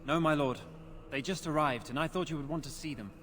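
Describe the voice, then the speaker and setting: A high-pitched, frightened village man, as a man puts him on, stammering and jittery. The voice sits well above the performer's usual one, with a faint hiss behind it. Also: fairly quick, fairly bright tone, natural voice. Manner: stammering, fearful.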